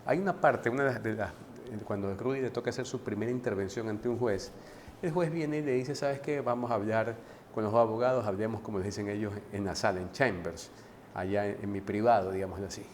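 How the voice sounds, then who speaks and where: A man giving a casual, conversational book commentary to his viewers, often pausing to restart and adding tag questions. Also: lowish voice, darkish tone, a little hiss or hum. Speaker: book reviewer.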